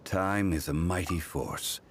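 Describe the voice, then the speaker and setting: A man voicing a calm, dignified ancient god in a video game, speaking in a measured, formal, grave way. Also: sits low. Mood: solemn, reflective. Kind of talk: scripted video game dialogue. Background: music.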